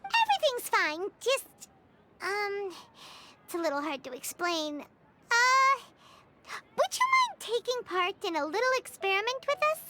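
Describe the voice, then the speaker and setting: A woman voicing a small, childlike fairy companion in a video game, squeaky and perky, curious and a bit bossy. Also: measured pace, background noise, exaggerated voice. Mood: coaxing, impatient. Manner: perky, expressive. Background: music.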